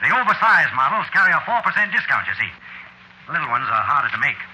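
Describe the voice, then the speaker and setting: A fussy, macabre coffin salesman from an old radio play. His pitch is highish and swoops freely as he dithers and chuckles with unctuous cheer. The sound is a noisy vintage broadcast recording. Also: very animated, fast, sharp tone, exaggerated voice. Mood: chatty, jovial. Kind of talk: vintage radio drama acting.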